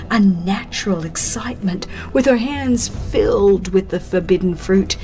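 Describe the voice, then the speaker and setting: A woman reading scripted religious narration as an audiobook, with solemn, deliberate and dramatic phrasing. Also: fairly high pitch, darkish tone.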